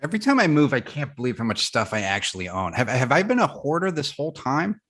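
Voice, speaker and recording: Casting: grumbling twenties male roommate played by a man, medium-pitched, wry, neurotic, naturalistic comedy delivery. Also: big pitch swings, fast talker, a bit bright. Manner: sarcastic, stammering. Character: grumbling sarcastic man in his twenties, dog-wary roommate. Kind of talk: comedy table read dialogue.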